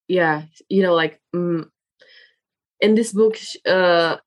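A young woman whose first language is Indonesian, speaking English in a casual interview as the guest and often pausing to search for words. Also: lowish voice. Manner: halting, searching for words with many fillers.

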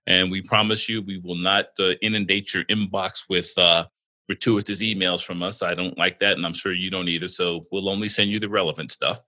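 Very bright-voiced, low-pitched male podcast host. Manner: joking, halting with many fillers and restarts. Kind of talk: casual podcast banter.

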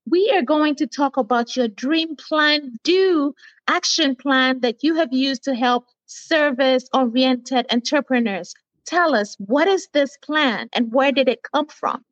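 A woman's voice: high-pitched, no room sound. Friendly woman host, dry lo-fi sound. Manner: friendly, halting with fillers. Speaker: podcast host. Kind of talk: hosting an interview.

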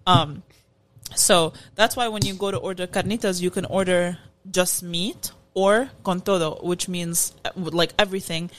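A woman's voice: lowish voice, fairly even intonation, moderate pace, a bit bright, a little hiss or hum. A woman with an American accent, co-hosting a casual food podcast and explaining things in an informal way. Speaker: podcast co-host.

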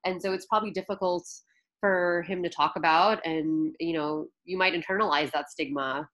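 Guest: low-pitched woman, calm, measured, analytical. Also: rough recording. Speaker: panel guest. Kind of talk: casual panel chat.

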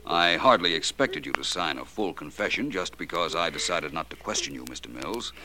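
Shrewd detective, low voice, lively inflection, polished wry manner, 1940s radio acting. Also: male voice, fairly quick. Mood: cool, pointed. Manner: polished, wry, formal phrasing. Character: suave, shrewd, unflappable male private detective.

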